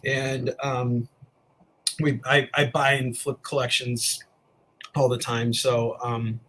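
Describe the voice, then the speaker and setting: A man from the Chicago area, a comics creator talking as a guest in a casual interview, friendly and earnest, often pausing on ums and uhs. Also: medium pitch, little pitch movement, fairly bright tone, poor audio.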